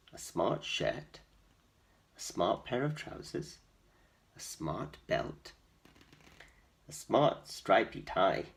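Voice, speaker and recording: Slow, expressive male storyteller. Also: low voice, gravelly. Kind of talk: children's storybook read-aloud with asides.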